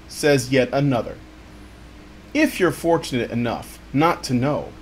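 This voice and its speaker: A man's voice: a little high, breathy edge. A man reading an article aloud in a dramatic storytime style, with theatrical, exaggerated emphasis.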